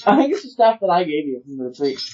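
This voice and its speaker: A woman in animated, casual conversation as a player at a tabletop game. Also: lowish voice, fast talker, dull audio.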